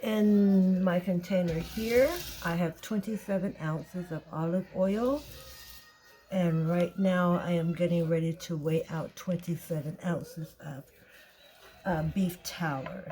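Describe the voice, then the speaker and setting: A woman with a tired, raspy voice, talking casually through a soap-making tutorial and thinking aloud as she weighs ingredients. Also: on the low side, steady tone, dark tone, faint music behind. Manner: slow, chatty, thinking aloud while weighing. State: tired voice, clearing throat. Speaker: soap maker.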